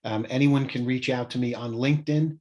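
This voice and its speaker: Guy late 50s, interview guest on a video call, chatty storyteller, medium pitch. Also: fairly even intonation, a little fast, darkish tone. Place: small indoor home office.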